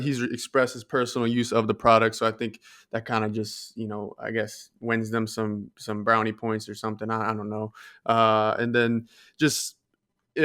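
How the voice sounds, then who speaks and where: Male co-host for casual discussion podcast, clean smooth tone, little pitch movement, measured and tentative delivery. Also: a bit bright.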